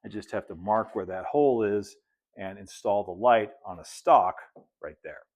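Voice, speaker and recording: A man, narrating a hands-on hobby tutorial in a relaxed, folksy way, as if thinking aloud while he works. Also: on the low side, moderate pace. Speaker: hobbyist presenter of model railroad build videos.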